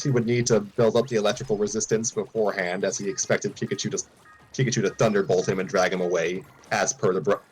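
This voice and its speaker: A man's voice: fairly low pitch, poor audio. A man reading a fan story aloud, often stumbling and restarting lines.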